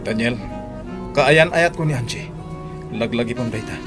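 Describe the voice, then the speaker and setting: An actor playing a jealous, possessive, defensive boyfriend in a radio drama. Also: male voice, medium pitch, unhurried, music in the background, close to an everyday voice. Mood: jealous, confrontational. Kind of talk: Ilocano radio drama acting.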